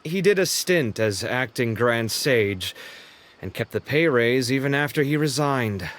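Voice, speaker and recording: A man's voice: medium pitch, expressive, unhurried, fairly bright tone, a little hiss or hum. A man voicing an easygoing young male companion in a video game, talking in a casual, thoughtful way. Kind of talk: game voice acting.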